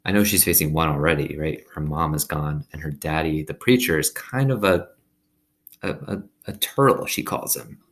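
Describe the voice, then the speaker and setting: A man's voice: a bass voice, moderate pace, fairly bright tone. A man reading a children's story aloud expressively, with casual asides to his listeners. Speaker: reader reading a children's novel aloud to students.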